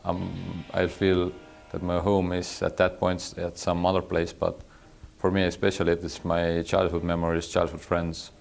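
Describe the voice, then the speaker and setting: Man, very deep, flat monotone, hesitant interview answers. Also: normal speed, vocal fry, hardly any pauses, soft background music. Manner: thoughtful, hesitant, many fillers.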